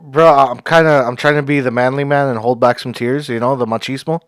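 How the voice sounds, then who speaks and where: A man's voice: mid-range voice, steady tone, fairly quick, no room sound. A man hosting a podcast, talking casually and thanking his guest effusively, laughing often. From Cuban heritage. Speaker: podcast host.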